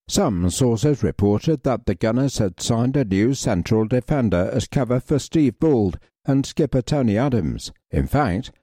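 A man reading a football history article aloud in a measured, even way. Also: on the low side, no echo, clean studio sound. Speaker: reader.

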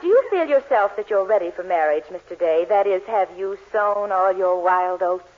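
An actress playing a prim, exasperated marriage counselor in a 1940s radio sitcom, formal and stubborn. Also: female voice, medium pitch, animated, moderate pace, natural voice. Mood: businesslike. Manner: crisp formality, barely contained exasperation. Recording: muffled 1940s radio, faint noise.

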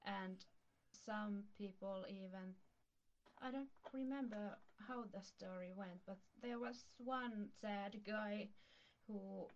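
A woman's voice: mid-range voice, takes it slowly, lo-fi sound. A woman, a native Finnish speaker, co-hosting a casual podcast and hesitantly searching for words. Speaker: podcast co-host. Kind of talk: casual chat.

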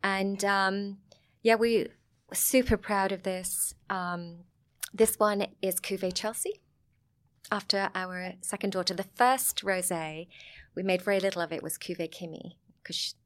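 A woman's voice: medium pitch, fairly even intonation, measured pace, a bit bright. A middle-aged woman with a Sussex English accent, a guest chatting frankly and lightly, laughing often. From England. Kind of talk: casual interview chat.

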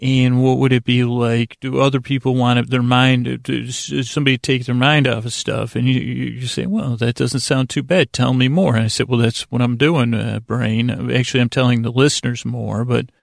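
A man hosting a bedtime podcast in a lulling, rambling monologue, halting and self-deprecating as he circles back on himself. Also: mid-range voice, fairly even intonation, gravelly, dry recording, studio quality. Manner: lulling, soothing delivery. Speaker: podcast host.

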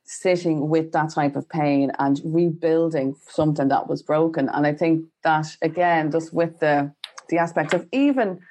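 Woman podcast host from Ireland, deep voice, rambling. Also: normal speed, mellow. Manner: rambling, many you knows and likes. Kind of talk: podcast conversation.

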